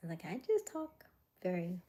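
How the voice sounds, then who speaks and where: A young adult woman hosting a casual livestream, chatting with viewers in a chatty way with frequent laughs and soft, whispery stretches. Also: lowish voice, wildly swooping pitch, unhurried. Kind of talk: casual live chat reading viewer comments.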